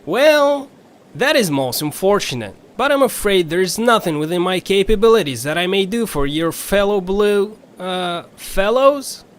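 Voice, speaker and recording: A man's voice: high-pitched, animated, moderate pace. A man voicing a sly, folksy riverboat captain with a flowery, drawling, theatrical manner. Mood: courteous.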